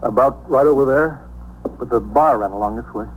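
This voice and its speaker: An American actor playing a rattled working-class bartender, evasive and protesting, in a 1950s radio police drama. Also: male voice, medium pitch, fairly quick, natural voice. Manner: evasive, protesting, plain-spoken. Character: defensive, rattled working-class male bartender under suspicion. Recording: noisy old 1950s radio recording.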